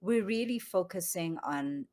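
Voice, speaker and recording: A woman from Zambia, a yoga studio founder, speaking calmly and reflectively as a guest on a video call interview. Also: fairly low pitch, a little slow. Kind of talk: online interview conversation.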